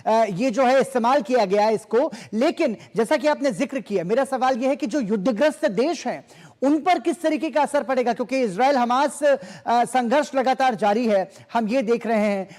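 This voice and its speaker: A man's voice: very high voice, moderate pace, a little mellow. A man anchoring a formal news interview, putting long, wordy questions to a guest. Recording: echoing hall-like reverb.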